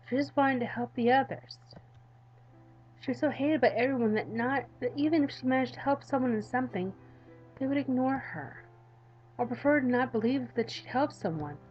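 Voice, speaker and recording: A woman's voice: sits high, normal speed, muffled recording, faint music behind. A woman gaming YouTuber reading a visual novel aloud, with casual commentary to viewers.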